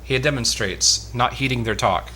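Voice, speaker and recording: A man's voice: mid-range voice, moderate pace, bright, forceful tone, slight background noise. A man reading out stage directions plainly in an amateur play reading.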